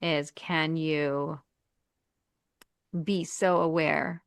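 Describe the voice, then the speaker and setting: A woman's voice: deep voice, steady tone, drawn-out words, clear, resonant tone, no room sound. A woman hosting a podcast as a coach, speaking reflectively and with emphasis. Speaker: podcast host, marriage coach. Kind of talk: casual conversation.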